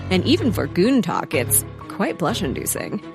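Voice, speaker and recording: A woman's voice: on the low side, huge pitch swings, moderate pace, creaky, music in the background, exaggerated voice. A woman narrating a comic audio drama as a wry, chatty storyteller given to asides.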